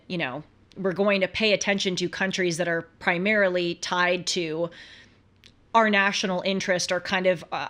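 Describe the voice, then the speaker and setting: A woman's voice: lowish voice, fairly bright tone. An American woman hosting a talk show, giving conversational political commentary in an earnest, emphatic way full of rhetorical questions.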